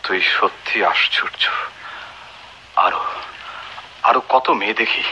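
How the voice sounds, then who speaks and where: An actor in a Bengali radio play playing a proud, defiant, protective brother, speaking in a stern, biting way. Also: male voice, lowish voice, measured pace, pushed and bright, slight background noise. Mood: bitter, urgent. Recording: dull lo-fi radio drama recording.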